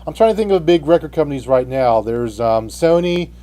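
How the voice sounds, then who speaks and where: Higher-voiced man, halting radio host. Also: animated, mellow. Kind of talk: unscripted radio debate.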